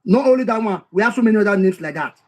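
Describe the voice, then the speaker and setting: Man, high-pitched voice, reads out quotes and unpacks them, repeats points. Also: expressive, very fast, darkish tone. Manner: reads quoted passages then explains them, repeats key phrases for emphasis. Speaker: history commentator. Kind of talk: explaining a history text aloud.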